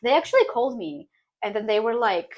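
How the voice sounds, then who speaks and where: Fast-talking young woman, podcast guest. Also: a little high. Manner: fast, animated storytelling with slang. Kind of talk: casual podcast chat.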